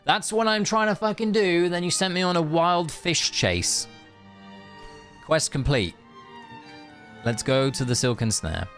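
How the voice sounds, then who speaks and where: A man's voice: fairly high pitch, animated, unhurried, pauses often, a bit bright. A man chatting casually on a game stream, rambling with joking asides and reading bits of story dialogue. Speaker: live streamer. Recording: music playing behind the voice. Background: game music.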